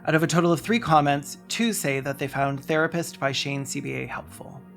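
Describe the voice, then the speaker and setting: A narrator reading a scripted video essay in a measured way, including emails read aloud. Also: medium pitch, vocal fry, faint music behind.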